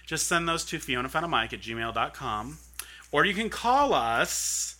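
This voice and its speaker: A man's voice: a little high, animated, a little slow, bright, forceful tone. A man co-hosting a podcast, reading listener emails in a dry, matter-of-fact way. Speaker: podcast co-host.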